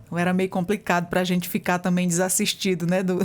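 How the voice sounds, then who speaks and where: A woman's voice: on the low side, little pitch movement, quick pace. A woman hosting an interview in a chatty, enthusiastic way, sharing personal stories and reacting with exclamations. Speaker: interview host. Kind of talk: conversational interview.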